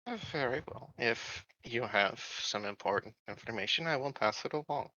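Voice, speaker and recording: A man playing a formal, secretive subordinate officer in a tabletop game, with stiff, measured, evasive phrasing. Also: mid-range voice, sharp tone, highs cut off, a little hiss or hum. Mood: deferential.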